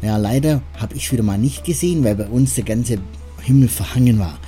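A man's voice: mid-range voice, brisk, warm tone. A man hosting a radio show in a chatty, rambling way, with self-deprecating asides between music and sports news. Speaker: radio host. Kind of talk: casual radio moderation.

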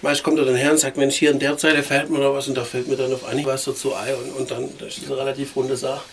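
A man's voice: medium pitch, flat delivery, quick pace, crowd noise. A man, a musician chatting casually and telling anecdotes in an interview. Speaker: musician and lyricist being interviewed.

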